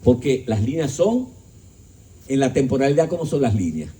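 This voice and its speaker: A man's voice: sing-song, moderate pace, dark tone. A man giving a philosophical lecture to an audience, emphatic, asking rhetorical questions and joking. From Dominican Republic. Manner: emphatic, shifting pitch and volume. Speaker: speaker at a discussion gathering.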